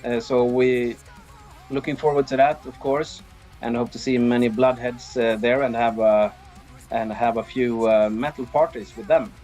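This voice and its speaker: A middle-aged man with a Swedish accent answers interview questions casually. He is easygoing and feels his way through sentences at a medium pitch. Faint music sits behind his voice. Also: fairly even intonation, normal speed, darkish tone, dull audio. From Sweden. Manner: hesitant, easygoing. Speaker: interviewed band drummer.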